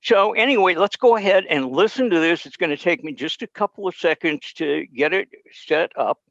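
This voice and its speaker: A man's voice: high voice, lively intonation, normal speed, gravelly, a bit bright, no room sound. An older man giving an informal explanatory talk as a presenter over a video call. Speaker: presenter of old-time radio session. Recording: dull video-call audio.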